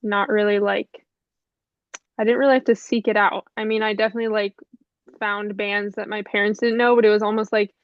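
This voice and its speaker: A woman's voice: mid-range voice, brisk, no room sound. Brief: young woman, mildly stuffy, easygoing rambling talker, dull lo-fi call sound, dry. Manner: relaxed, rambling, many fillers and soft laughs. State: a little stuffy. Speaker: musician guest. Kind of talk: casual interview chat.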